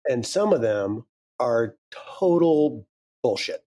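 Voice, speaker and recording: A man's voice: mid-range voice, expressive, slow pace, warm tone. A man in his fifties, a guest speaking frankly and candidly in a casual interview, swearing now and then. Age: 50s. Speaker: guest, audio drama creator.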